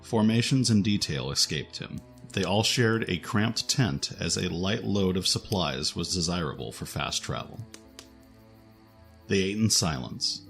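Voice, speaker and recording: A man reading scripted narration for a fantasy adventure story. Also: sits low.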